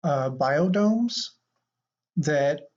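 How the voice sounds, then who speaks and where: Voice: medium pitch, animated, very slow, a little mellow. Rambling podcast host, muffled sound. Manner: rambling, repeats phrases. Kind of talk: casual improvised banter.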